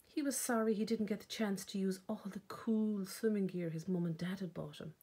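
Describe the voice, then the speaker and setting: An Irish woman reading a children's picture book aloud expressively, with dramatic pauses and emphasis. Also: mid-range voice, steady tone, mellow, husky. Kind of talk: storytime read-aloud narration.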